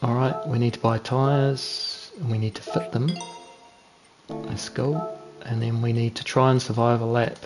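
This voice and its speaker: A man's voice: mid-range voice, little pitch movement, a little slow, over music. A young man with a New Zealand accent giving laid-back, chatty commentary while streaming a racing game, joking at his own mistakes. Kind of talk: casual gameplay commentary.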